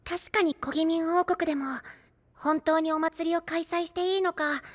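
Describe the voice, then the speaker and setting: A woman's voice: very high voice, fairly even intonation, normal speed, long reverb tail, a little hiss or hum. A woman voicing a small, sweet, polite and diligent young character in an anime audio drama, speaking earnestly.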